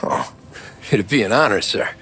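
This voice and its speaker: A man's voice: on the low side, moderate pace, bright, forceful tone. An actor playing a reserved, respectful American man newly in the mob in a 1930s crime game, plain-spoken and understated. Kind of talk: cinematic video game acting. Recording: music behind the voice.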